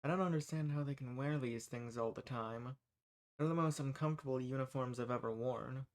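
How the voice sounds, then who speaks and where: A man's voice: medium pitch, fairly quick, natural voice. A man playing a kind, folksy human rebel who earnestly reassures and shelters monsters in a scripted audio roleplay. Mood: friendly, reassuring. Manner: folksy, reassuring, earnest, uses y'all and ain't. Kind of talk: scripted audio roleplay, speaking softly to the listener.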